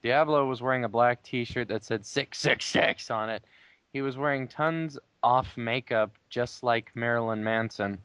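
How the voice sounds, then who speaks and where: A man bantering casually and reading fan fiction aloud in a deliberate, wry way. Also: mid-range voice, fairly bright tone, clean tone, dry recording. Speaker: player in a dramatic group reading game.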